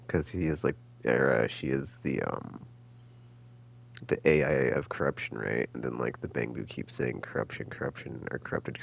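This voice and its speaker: A man giving casual, rambling game commentary as a streamer, halting and slangy as he thinks out loud. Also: extremely low pitch, gravelly. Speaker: gaming streamer.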